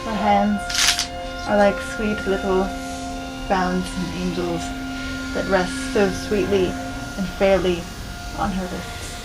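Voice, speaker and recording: A woman narrating in a solemn, poetic, deadpan mock-documentary style. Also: medium pitch, takes it slowly, poor audio. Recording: loud music behind the voice.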